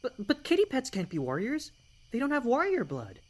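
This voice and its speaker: Woman playing a high, excitable young cat. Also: normal speed. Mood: protesting. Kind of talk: audiobook fandub acting.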